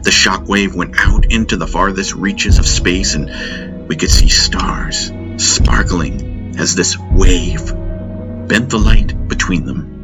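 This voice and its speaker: A man reading scripted fantasy narration as an audiobook narrator, in a deliberate, theatrical way. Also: deep voice. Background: music.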